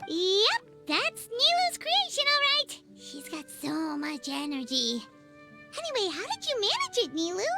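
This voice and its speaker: A woman playing a tiny, childlike, cheeky fairy companion with a squeaky, perky voice in an anime-style game. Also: huge pitch swings, measured pace, exaggerated voice. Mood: impressed. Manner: perky, refers to self in third person. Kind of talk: anime-style video game character acting. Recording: loud music behind the voice.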